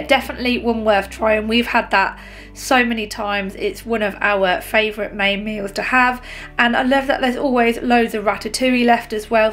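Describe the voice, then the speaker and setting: A woman, talking viewers through a recipe in her home kitchen in a relaxed, chatty way. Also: mid-range voice. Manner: chatty, relaxed, frequent "um" and "honestly". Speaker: cooking vlogger. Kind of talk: cook-along voice-over and chat to camera. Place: home kitchen and living room.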